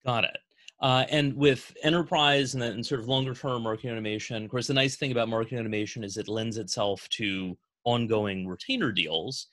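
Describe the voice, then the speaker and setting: A man hosting a business Q&A on a video call, speaking in a measured way with frequent fillers. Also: medium pitch, fairly even intonation, a bit bright, dry recording, clean studio sound. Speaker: host of agency Q&A.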